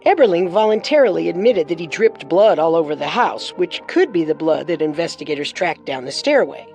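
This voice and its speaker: A woman's voice: lowish voice, animated, fairly quick, darkish tone, faint music behind. A woman co-hosting a podcast, reading scripted true-crime narration with dramatic storytelling and wry touches.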